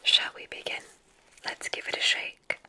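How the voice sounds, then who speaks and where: A woman performing a witch examiner ASMR roleplay in a breathy whisper, with a gentle, soothing, deliberate and encouraging manner.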